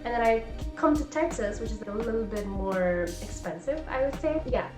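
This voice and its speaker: A woman's voice: a little high, animated, normal speed, roomy sound, over music. A woman in her twenties from Nepal, talking in a casual, reflective vlog full of "like" and "you know.". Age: 20s. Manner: chatty, reflective, many fillers like "like" and "you know". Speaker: vlogger and coach. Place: home in California.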